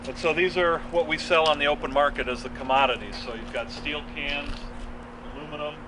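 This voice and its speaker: A man giving an informal explanatory tour in a matter-of-fact, halting way, as a guide at a working facility. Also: on the high side. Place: working waste and recycling facility. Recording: distant mic, clear vehicle and machinery noise.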